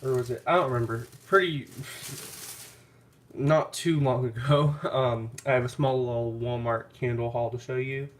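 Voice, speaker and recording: A man's voice: mid-range voice, fairly even intonation, moderate pace. A man with a stuffy, nasal voice from clogged sinuses, chatting casually and hesitantly through a haul video at home, with many ums along the way. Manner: rambling, hesitant, full of ums. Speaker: candle vlogger.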